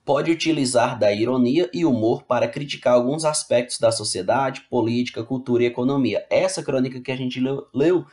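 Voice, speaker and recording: A man's voice: mid-range voice, fairly quick, hardly any pauses, darkish tone. A male teacher giving an online class, reading slide text aloud and then rephrasing it in plain words, often checking in with the students. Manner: explains casually, checks in with "né?" and "tá bom?".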